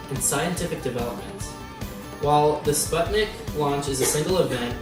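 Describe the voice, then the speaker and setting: Distant-miked man presenting amateur news. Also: medium pitch. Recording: distant mic, music behind. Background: music.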